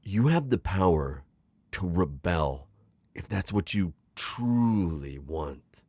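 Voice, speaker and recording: A man's voice: low-pitched, sing-song, darkish tone, dry recording, heavily acted. A man playing a suave, manipulative magical villain mentor in an intimate ASMR roleplay, coaxing and teasing as he talks. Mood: persuasive, teasing. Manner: coaxing, smooth-talking, chuckling, drawn-out teasing phrasing. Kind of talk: ASMR roleplay acting, intimate one-sided dialogue.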